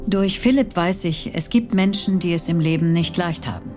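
An actress playing a murdered woman in her sixties who tells her own life story in a measured, wistful way. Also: fairly low pitch, a little mellow, close to an everyday voice. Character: 61-year-old murdered woman narrating her life from beyond death. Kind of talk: scripted dramatized first-person narration. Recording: clear music bed behind the voice.

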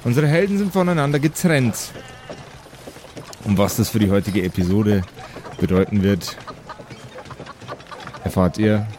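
A man's voice: on the low side, measured pace, long pauses, darkish tone, slight background noise. A man narrating an improvised fantasy audio play as game master, in a storytelling style.

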